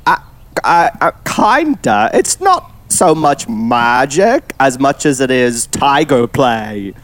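A man's voice: medium pitch, very animated, fairly bright tone. A man putting on a daffy time traveler with a German accent. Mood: whimsical. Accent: put-on German. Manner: halting, drawn-out, whimsical. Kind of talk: improvised comedy podcast.

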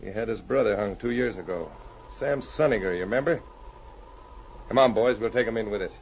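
An actor playing a tough, cocky gang boss of the frontier, folksy and rough, giving orders in a 1940s radio drama on a dull old recording. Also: male voice, on the low side, fairly quick, slight background noise, lightly acted. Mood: menacing, commanding. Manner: folksy rough Western speech, barking orders.

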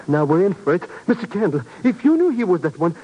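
Jittery, excitable radio drama sidekick; husky, high voice leaping about, vintage broadcast sound. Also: male voice, quick pace, highs cut off, exaggerated voice. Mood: nervous. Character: excitable, anxious, high-pitched sidekick man. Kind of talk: 1950s radio drama acting. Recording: old 1950s radio broadcast.

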